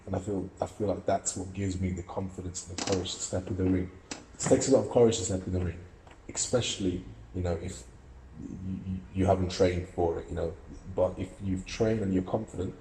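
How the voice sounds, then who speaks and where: A man's voice: sits low, warm tone, reverberant, a little hiss or hum, rough recording. A young man from Russia, an amateur boxer and student, giving earnest, reflective interview answers with many fillers and restarts. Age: young adult.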